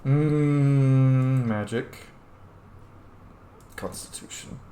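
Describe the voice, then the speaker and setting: A man's voice: mid-range voice, drawn-out words, dark tone. Man casually gaming in an echoey room. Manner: informal, thinking aloud. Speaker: video game player, streamer. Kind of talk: casual let's-play commentary. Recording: roomy echo, faint background noise.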